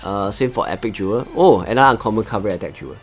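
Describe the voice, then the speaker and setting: A man with a Singaporean accent and a fairly low voice hosts a live gaming stream. He chats casually with his viewers while he plays, with faint music behind him. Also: fast talker. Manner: chatty, talks to viewers as he plays. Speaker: livestream host.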